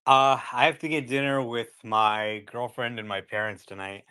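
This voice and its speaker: A man co-hosting a live chat, giving relaxed commentary and thinking aloud with fillers. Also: mid-range voice, moderate pace, smooth. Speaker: co-host. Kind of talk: casual chat, opinionated commentary.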